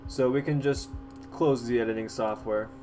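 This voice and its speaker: A man hosting a casual software tutorial, explaining step by step in an informal, halting way. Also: medium pitch, steady tone, a little hiss or hum. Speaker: tutorial host.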